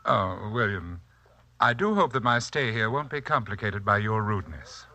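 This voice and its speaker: A crisp, forward male voice for an eerily composed mystic in a vintage radio thriller. He is formal and condescending, gliding through wide, deliberate swoops of pitch with soft-spoken menace. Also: sing-song, dry recording, exaggerated voice. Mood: veiled menace. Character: sinister, composed, polite mystic uncle back from India. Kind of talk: 1940s radio drama acting. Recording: vintage 1940s radio recording.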